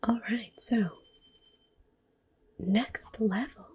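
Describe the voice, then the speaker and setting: A woman giving soft-spoken ASMR gameplay commentary in a breathy half-whisper, gently narrating each move. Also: fairly high pitch, fairly even intonation, very slow. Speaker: game streamer, host.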